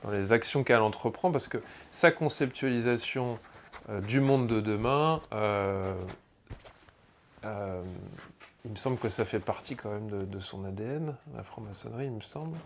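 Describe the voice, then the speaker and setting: A man's voice: on the low side, moderate pace, slight background noise. A man interviewing a guest, putting questions hesitantly with restarts and fillers. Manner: hesitant, searching for words with "euh" and restarts.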